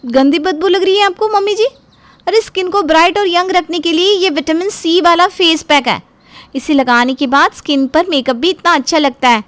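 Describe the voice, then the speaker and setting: A woman's voice: very high-pitched, normal speed, fairly bright tone, dry recording, pitched above the performer's own voice. A woman voicing an eager, naive young daughter-in-law, perky and earnest, in a cartoon family drama. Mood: breezy.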